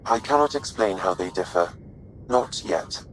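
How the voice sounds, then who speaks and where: A man playing a polite, measured artificial intelligence in a video game, speaking formally, precisely and without emotion. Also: deep voice, animated, slight background noise, poor audio.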